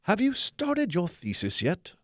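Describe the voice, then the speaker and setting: A man's voice: high-pitched, huge pitch swings, measured pace, dry recording, clean studio sound, full character voice. A man voicing a casual, admonishing male character in audiobook dialogue. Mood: fatherly, instructing.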